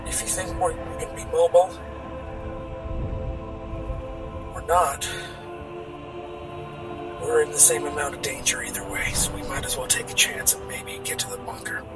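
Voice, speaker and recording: A man playing a weary, battered, grimly pragmatic survivor in tabletop roleplay, speaking haltingly in a strained voice. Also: fairly high pitch, fairly even intonation, far from the mic, music in the background, lightly acted. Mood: resigned. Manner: halting, hesitant, repeating words.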